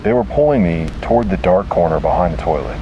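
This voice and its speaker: A man delivering scripted first-person horror narration in a grave, dramatic manner with deliberate pauses. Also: low-pitched, animated, muffled recording, background noise.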